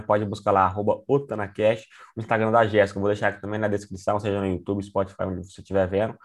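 Fast-talking low-voiced male host. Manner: brisk, informal, sign-off phrases. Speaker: podcast host. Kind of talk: casual interview chat.

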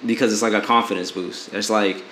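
A man's voice: fairly low pitch, fairly even intonation, fairly quick, a bit bright. A man in his late twenties co-hosting a podcast, giving blunt relationship advice in a rambling way, full of fillers and restarts. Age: 20s. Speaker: podcast co-host. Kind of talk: casual advice chat. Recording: echoey room with faint noise.

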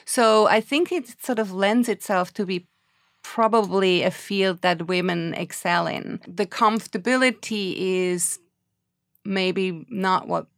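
Gravelly-voiced woman, hesitant. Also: mid-range voice, slow, no echo, studio quality. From Switzerland. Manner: hesitant, many fillers and restarts. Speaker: film editor and documentary filmmaker. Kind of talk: interview answers.

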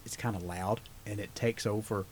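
Host, loud and talkative, asks curious questions, a little noise in the background. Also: male voice, on the low side, steady tone, normal speed. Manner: loud, laughing, curious, rambling. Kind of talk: casual interview chat.

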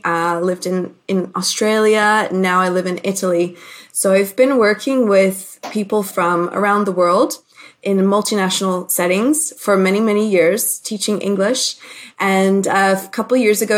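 Female communication coach, mid-pitched, explanatory, casual interview guest. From born in Hong Kong.

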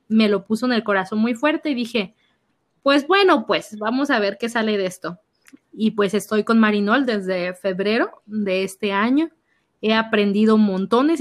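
Young Mexican woman, youth minister, fairly high voice, animated storyteller acting out her own reactions. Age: young adult. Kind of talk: personal testimony in conversation.

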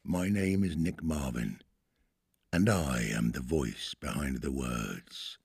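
Very deep, slow-spoken male narrator. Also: animated, darkish tone, slightly rough, no echo, clean studio sound. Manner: measured, formal, theatrical. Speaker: podcast host and voiceover artist. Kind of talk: scripted poetic narration. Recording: solo flute music behind.

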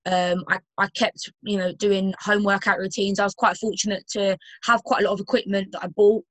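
A young woman from Romford with a London accent talks easily as a podcast guest. She rambles a little, with plenty of ums and self-corrections, at a middling pitch. The recording is dry and close. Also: flat delivery, brisk, dry recording. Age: 20s. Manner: chatty, with many fillers and restarts. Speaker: guest footballer and personal trainer. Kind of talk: casual podcast interview.

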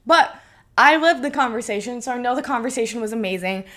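A woman's voice: a little high, expressive, fast talker, fairly bright tone. A bubbly woman hosting a podcast, chatting casually and laughing often. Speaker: podcast host. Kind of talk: casual chat.

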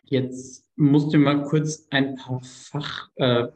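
A man's voice: mid-range voice, level tone, slow, darkish tone, rough recording. A man hosting a podcast, putting casual interview questions to guests. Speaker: podcast host.

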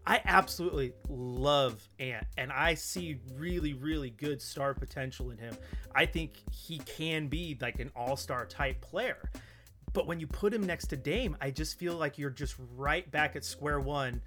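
High-voiced man giving casual sports opinions. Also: measured pace, bright, forceful tone, faint music behind. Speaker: podcast co-host.

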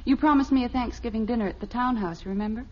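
An American actress playing a patient, gently teasing middle-aged wife and mother in an old radio comedy. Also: a little high, quick pace, lightly acted. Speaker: actor. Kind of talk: old-time radio family comedy acting. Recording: dull old radio recording.

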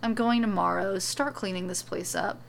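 Woman playing a terse, gravelly bearded man. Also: medium pitch, normal speed, slight background noise, natural voice. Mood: calm, firm. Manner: calm, clipped, matter-of-fact. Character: calm, terse bearded man, blunt fantasy wanderer.

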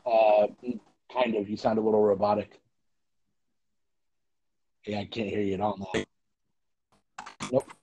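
A man's voice: fairly low pitch, level tone, unhurried, pauses often, warm tone. A man co-hosting a podcast in casual movie chat, heard over slightly dull remote call audio. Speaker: podcast co-host.

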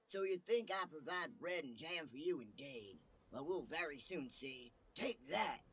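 A little storybook tailor who thinks himself a great hero, acted out by a male narrator. He is boastful and cheeky, with a slightly husky voice. His speech is exclamatory and cocky. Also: a little high, animated, measured pace, fairly bright tone, pitched above the performer's own voice, heavily acted. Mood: indignant. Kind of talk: storybook character voice.

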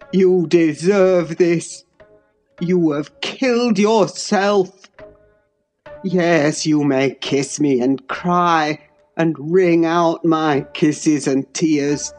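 A woman voicing an anguished, fierce young man in wild grief, bitter and accusing with heavy, slow stresses. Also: low-pitched, a little mellow.

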